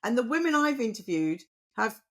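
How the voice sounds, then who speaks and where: Enthusiastic, halting woman podcast host. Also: fairly high pitch, big pitch swings. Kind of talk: casual conversational interview.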